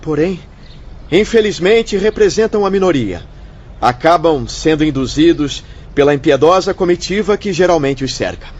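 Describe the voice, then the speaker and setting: A reasonable, supportive spirit medium in a radio play. His voice is fairly high for a man, formal and encouraging. The sound is a little dull and lo-fi. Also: expressive, moderate pace, a little mellow. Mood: sympathetic. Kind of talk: radio drama acting. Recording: dull lo-fi audio.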